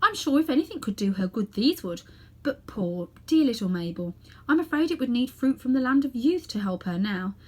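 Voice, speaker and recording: A woman's voice: fairly high pitch, very animated, brisk. A woman voicing a kindly, sorrowful aunt in a storybook, genteel and pitying in manner. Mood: pitying, wistful.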